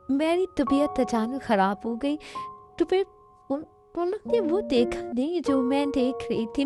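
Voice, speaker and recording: A woman's voice: sits high, voice pushed up. A woman voicing a frightened young wife who stammers through tears. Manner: halting, sobbing, stammering.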